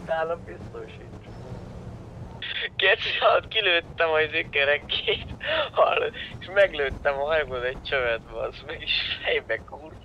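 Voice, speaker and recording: A man's voice: on the high side, lively intonation. A man talking casually and crudely while playing an online game. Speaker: roleplay gamer. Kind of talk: casual in-game chat, slangy and sweary. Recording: dull lo-fi voice chat, faint engine noise.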